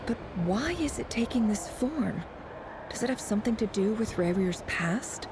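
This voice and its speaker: A woman plays an inquisitive investigator in a fantasy game. Her mid-pitched voice is thoughtful, as if musing to herself, with clear ambient noise behind it. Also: animated, normal speed, close to an everyday voice. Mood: pensive. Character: pensive, inquisitive female investigator. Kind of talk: dramatic video game voice acting.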